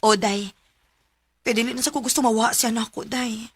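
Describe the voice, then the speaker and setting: A woman's voice: a little high, fairly even intonation, moderate pace, breathy edge, no room sound. A woman playing a lovestruck, hesitant young woman confiding in a Cebuano Filipino accent in a radio romance drama.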